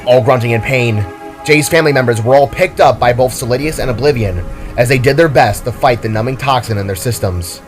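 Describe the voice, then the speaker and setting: A male storyteller with a medium-pitched voice narrates dramatic fantasy action from a script. Faint music sits behind the voice. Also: a little fast.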